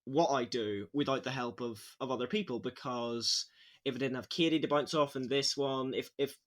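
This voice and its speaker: A man's voice: medium pitch, steady tone, normal speed. An Irish man, an audio drama actor, chatting casually and effusively, often stammering and restarting his phrases. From Ireland. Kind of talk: casual behind-the-scenes chat.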